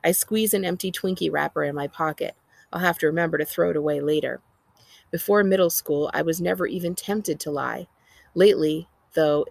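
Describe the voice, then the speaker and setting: A woman's voice: on the low side, gravelly, no echo. An American woman voicing a sardonic, exasperated twelve-year-old girl narrating her life in a storybook read-aloud. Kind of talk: expressive audiobook-style reading.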